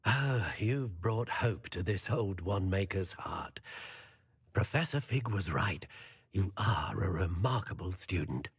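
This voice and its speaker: Husky, wistful old wand-maker. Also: male voice, low voice, animated, slow, exaggerated voice. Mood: moved, grateful. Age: older. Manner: theatrical, savouring his phrases. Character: elderly kindly wand-maker, wistful and gentle. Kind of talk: video game character acting.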